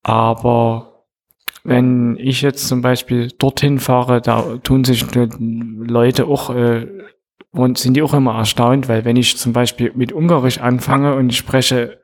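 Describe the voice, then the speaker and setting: A man hosting a podcast discussion, speaking haltingly with many fillers and restarts. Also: mid-range voice, little pitch movement. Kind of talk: casual discussion.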